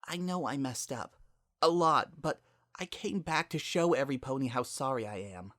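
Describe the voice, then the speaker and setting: A man's voice: medium pitch, expressive, normal speed, fairly bright tone, no echo, clean studio sound, pitched above the performer's own voice, close to an everyday voice. A man voicing a remorseful, wounded young female pony who pleads with her sister in a quivering voice, in a dramatic story reading.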